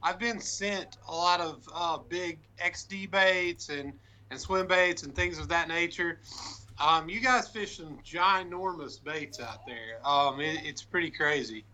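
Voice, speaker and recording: A man's voice: on the high side, measured pace, pushed and bright. A man as the guest on a casual livestream interview, chatting in a friendly way with lots of fillers. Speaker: guest, lure painter.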